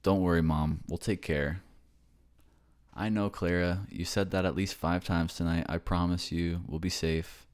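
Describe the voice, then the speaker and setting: A man's voice: deep voice, steady tone, a little slow, a little mellow. A man playing an affectionate, reassuring boyfriend from a ghost family in a casual ASMR-style roleplay. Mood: affectionate farewell.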